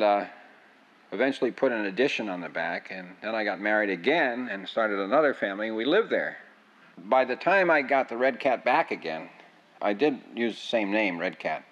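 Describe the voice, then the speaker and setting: Older man with a low voice. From United States. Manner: rambling, with frequent restarts. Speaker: interviewee. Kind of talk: oral history reminiscence. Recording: dull lo-fi sound.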